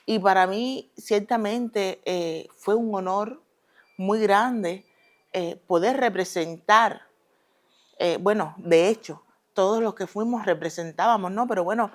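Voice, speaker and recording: A Cuban woman in her thirties, a former judoka, answering interview questions in a deliberate way with many hesitations, turning quick and emphatic when she speaks with pride. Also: medium pitch. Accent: Cuban Spanish. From Cuba. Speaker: guest, retired Olympic judoka. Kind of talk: relaxed conversational interview answers.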